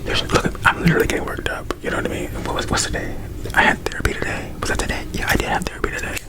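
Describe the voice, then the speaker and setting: A man's voice: racing, in a whisper. A man vlogging to his camera in a rambling, self-mocking personal rant, full of "you know what I mean".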